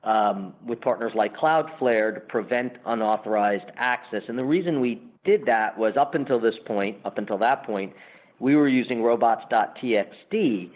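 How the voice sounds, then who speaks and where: A man's voice: medium pitch, normal speed. Businesslike man on a muffled conference line. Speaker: company executive answering analyst questions. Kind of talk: earnings call answers, semi-formal explanation. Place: conference call. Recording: muffled, band-limited conference call line.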